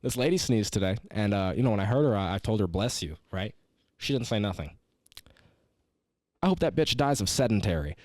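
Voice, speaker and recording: A man's voice: fairly low pitch, animated, fairly quick, a little mellow, no echo, studio quality. A young adult man co-hosting a casual comedy podcast, swearing often, stumbling over his words and poking fun at himself. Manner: profane, stammering, self-deprecating. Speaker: podcast co-host.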